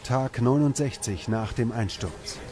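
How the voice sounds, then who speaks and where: A man reading scripted documentary narration in a measured, serious way. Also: mid-range voice. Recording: music under the narration. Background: background music.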